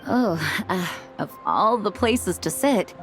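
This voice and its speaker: An actress playing a calm, dutiful female knight leader who speaks in a measured, kind way. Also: medium pitch. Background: soft game music.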